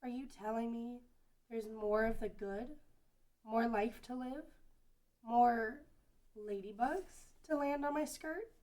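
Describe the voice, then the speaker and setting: A woman's voice: on the high side, slow, a little mellow. A woman playing an innocent, earnest young girl who loves bugs, in an amateur fantasy table read. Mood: wistful hope. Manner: childlike, simple and earnest. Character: innocent bug-loving young girl, sweet and childlike. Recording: distant from the microphone.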